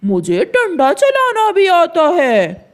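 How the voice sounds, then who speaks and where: A woman's voice: very high-pitched, wildly swooping pitch, slow pace, heavily acted. A woman voicing a hot-headed, blustering male crow bully, a villain in a cartoon. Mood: angry. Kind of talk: Hindi cartoon dialogue.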